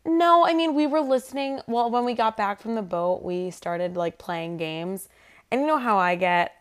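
A woman's voice: a little high, normal speed. A young woman co-hosting a podcast in casual, rambling conversation, her voice a little worn after losing it. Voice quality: slightly raspy from lost voice. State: voice worn from shouting and singing. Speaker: podcast co-host.

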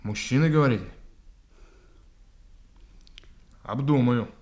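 An actor playing a naive, good-hearted young policeman in a radio drama, plaintive and halting as he repeats himself. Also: medium pitch, darkish tone, natural voice. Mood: grateful, sheepish.

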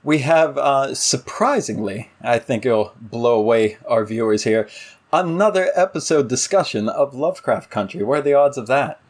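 Wry male podcast host, mid-pitched. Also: expressive, moderate pace. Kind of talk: casual episode discussion.

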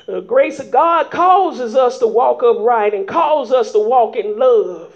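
A woman preacher with a strikingly high voice delivers a sermon in plain folksy speech. She takes her time and keeps prodding the congregation with calls of "huh?" as she rises into shouts. The recording is dull and lo-fi. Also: expressive, slow pace. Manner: emphatic call-and-response preaching with frequent "huh?" prompts; folksy vernacular, rising to shouts.

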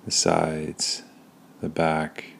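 Male meditation guide: low, even, unvarying delivery, calm and soothing, with unhurried pauses between phrases. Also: creaky, slight background noise. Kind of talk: guided body scan meditation.

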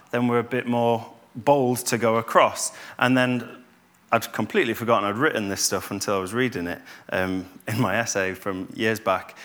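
A man, giving a calm, conversational church talk with fillers and restarts. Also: medium pitch. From Yorkshire, England. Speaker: church speaker.

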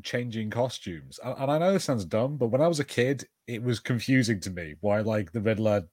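A man's voice: mid-range voice, expressive, a little fast, darkish tone. A man co-hosting a casual watch-party film chat in an informal, conversational manner. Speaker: podcast co-host.

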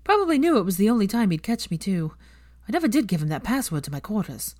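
A woman's voice: medium pitch, very animated, quick pace, darkish tone, voice pushed up, lightly acted. A woman voicing a harried young witch teacher with strained, forced cheer and a wry, evasive manner.

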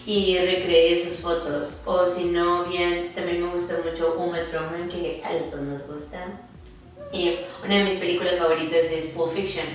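A woman's voice: fairly low pitch, flat delivery, normal speed, far from the mic. A young woman from Monterrey with a northern Mexican accent, chatting casually and giggly, mixing in some English. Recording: very echoey room, off mic, music behind.

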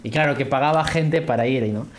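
A man with a Peruvian accent chatting casually on a podcast in an animated, rambling, slangy way. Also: medium pitch, a little fast, slight background noise. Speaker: podcast host.

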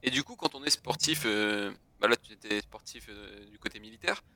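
A man's voice: fairly low pitch, normal speed, pushed and bright. A man hosting a podcast interview, asking questions casually with loose, restarting phrasing.

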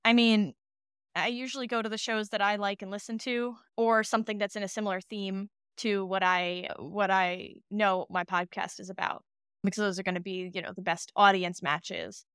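A woman with a strikingly clean, bright voice talks casually as a podcast producer being interviewed. She tells things in an animated way, voicing imagined exchanges. The sound is dry, with no room echo. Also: medium pitch, normal speed, smooth. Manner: animated storytelling, acts out imagined dialogue.

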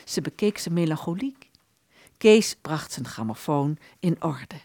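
A woman reading literary narration for a radio play in an expressive storytelling manner. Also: low voice, measured pace, no room sound.

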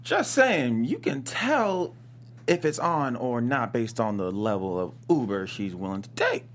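A man's voice: mid-range voice, very animated, unhurried, a little hiss or hum. A man reading a cocky, touchy young male gamer with a mocking, slangy manner in a comedy table read. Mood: cocky, amused.

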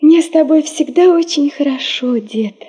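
An actress in a radio play playing an affectionate teenage granddaughter who gently teases her grandfather. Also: moderate pace, natural voice. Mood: tender. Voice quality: high, soft. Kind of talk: Russian radio play acting.

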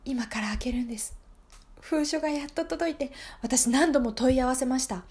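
High-pitched desperate marriage-hungry woman, acted by a woman. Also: normal speed, a little mellow, lightly acted. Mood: agitated, earnest. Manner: pleading, breathless repetition, overwrought. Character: desperate, gullible single woman in her thirties seeking marriage. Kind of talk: improvised radio drama acting.

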